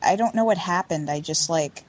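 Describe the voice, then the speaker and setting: A woman's voice: fairly low pitch, moderate pace. A woman chatting casually as a podcast co-host over a voice call. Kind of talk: casual fan chat. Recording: dull lo-fi internet call.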